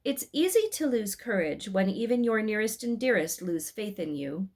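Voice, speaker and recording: A woman voicing a patient male angel guide in a storybook, explaining things in a measured, instructive way. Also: medium pitch, animated, darkish tone, natural voice. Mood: conciliatory.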